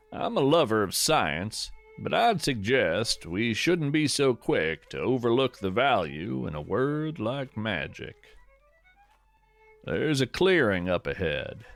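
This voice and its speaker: Slow, expressive man narrating reflectively. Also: medium pitch, vocal fry. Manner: contemplative, deliberate, gently wry. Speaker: podcast host and narrator. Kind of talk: scripted reflective nature narration.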